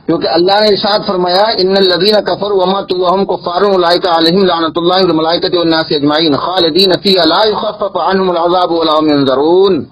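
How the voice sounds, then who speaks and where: A male Islamic cleric debating religion over a recorded phone call, insistent and argumentative, pressing his points by repeating them, with a husky voice. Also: high-pitched, fairly even intonation, fast talker, few pauses.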